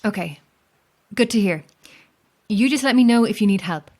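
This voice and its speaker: A woman acting as a solitary Irish lighthouse keeper in an audio horror drama. She is calm and watchful, with a dry wit and a measured, understated delivery. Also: medium pitch, lightly acted. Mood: friendly, helpful. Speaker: lighthouse keeper and narrator of a tale.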